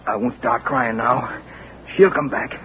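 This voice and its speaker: A man's voice: fairly high pitch, animated, a little slow. A desperate trapped man, old radio. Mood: frantic. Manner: pleading, urgent, talks to himself. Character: wounded man trapped in a silent body, desperate. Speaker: actor in a radio drama. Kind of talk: 1940s radio acting, inner monologue. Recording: muffled old radio recording with hiss.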